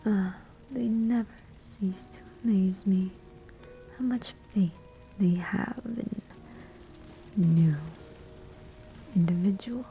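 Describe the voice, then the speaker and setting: A woman's voice: medium pitch, mellow, faint music behind. A woman playing a sly, amused demon collector of souls in a whispered, teasing ASMR roleplay. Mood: amused, condescending. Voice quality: breathy, whispering. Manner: slow, teasing, deliberate pauses.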